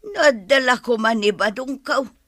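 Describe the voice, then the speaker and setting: A woman's voice: on the high side, lively intonation, measured pace, fairly bright tone, no room sound, studio quality. A woman with an Ilocano accent acting an opinionated, gossipy village neighbour in a radio comedy-drama, insistent in manner. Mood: prying.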